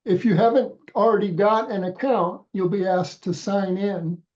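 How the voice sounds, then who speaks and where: A man's voice: high-pitched, fairly even intonation, moderate pace, a little mellow, lo-fi sound. A man with a head cold, congested, walking volunteers patiently through a website step by step on a video call, with frequent restarts. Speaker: volunteer trainer. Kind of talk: step-by-step instructional walkthrough.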